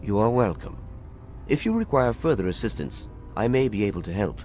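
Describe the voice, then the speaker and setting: An actor playing a courteous, scholarly scribe in a video game, formal, precise and guarded. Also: male voice, on the low side, sing-song, a little fast, darkish tone, faint music behind. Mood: guarded, helpful. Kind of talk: dramatic video game acting.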